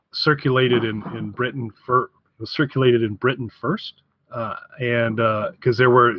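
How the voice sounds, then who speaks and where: A man co-hosting a casual review podcast, talking haltingly as he repeats and rephrases his way through each point. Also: medium pitch, no room sound. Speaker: podcast co-host. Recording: dry, slightly dull podcast audio.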